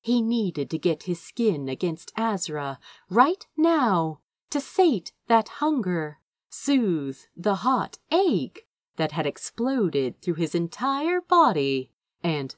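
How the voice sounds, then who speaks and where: A woman narrates fiction in a lowish voice that swings widely in pitch. She takes her time, drawing out phrases with expressive emphasis, and the sound is dry and close. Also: no room sound. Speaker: amateur audiobook reader of fan fiction. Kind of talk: scripted narration, dramatic storytelling read aloud.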